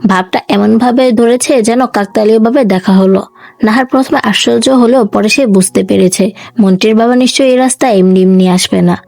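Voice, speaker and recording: A woman's voice: on the high side, brisk, vocal fry, a little mellow, no echo. A woman reading a dramatic novel aloud as a scripted voiceover narrator. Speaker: story narrator. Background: music.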